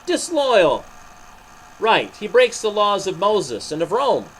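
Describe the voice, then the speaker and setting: A man reading a storybook, playing a member of an angry, jeering mob with loud shouts. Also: sits high, big pitch swings, slow pace, a little hiss or hum, voice pushed up, exaggerated voice, rough recording.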